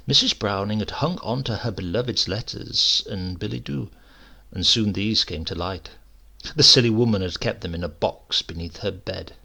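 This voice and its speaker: A man with a husky voice reading a literary story in a measured, wry way. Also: on the low side, bright, forceful tone. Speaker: story narrator. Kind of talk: scripted literary narration.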